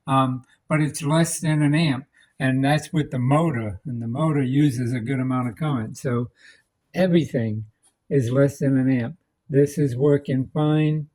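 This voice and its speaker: A man's voice: medium pitch, steady tone, measured pace, darkish tone. Casual man talking through a hands-on repair. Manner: plain-spoken, practical, thinking aloud. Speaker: electronics restorer presenting a repair demonstration. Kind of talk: casual unscripted demonstration.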